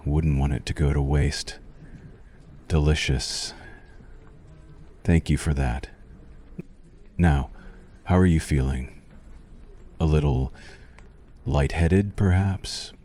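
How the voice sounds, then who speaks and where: An abyssally deep, dark-toned male vampire doctor played by a man speaks very slowly, as in an ASMR roleplay. He is courtly and intimate, soothing with a menacing edge. Every phrase carries a possessive, suave hunger. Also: full character voice.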